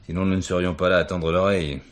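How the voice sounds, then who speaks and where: An actor playing a shrewd, authoritative police commissioner in a French radio crime drama, speaking in a commanding, deliberate way. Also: male voice, sits low, steady tone, lightly acted. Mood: confiding. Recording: noisy 1970s radio drama recording.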